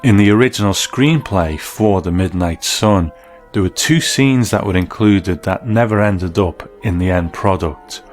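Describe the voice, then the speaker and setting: A man's voice: low-pitched, unhurried. A man presenting a scripted, explanatory documentary narration as host. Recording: voice-over with faint music behind. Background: faint music.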